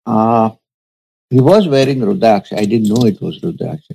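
A man, a spiritual teacher, telling a story from his past in a slow, measured, reflective way during an interview. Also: fairly low pitch, expressive, vocal fry, dark tone, slightly rough, low-quality recording. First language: Urdu.

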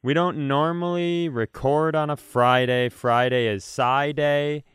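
Clear, dry-sounding American male co-host. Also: a little high, steady tone, takes it slowly, fairly bright tone, dry recording. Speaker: podcast co-host. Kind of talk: casual comedic chat.

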